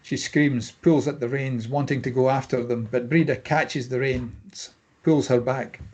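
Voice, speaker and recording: A man with a rough-edged, husky voice reads a screenplay aloud as narrator. His pitch is average, and he delivers scene headings and action lines as scripted narration. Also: fairly even intonation, unhurried, darkish tone. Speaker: narrator reading stage directions.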